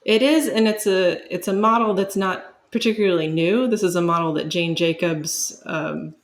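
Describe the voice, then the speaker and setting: A woman's voice: on the low side, normal speed. A woman speaking as an expert guest in a conversational interview, explaining thoughtfully and building her points step by step.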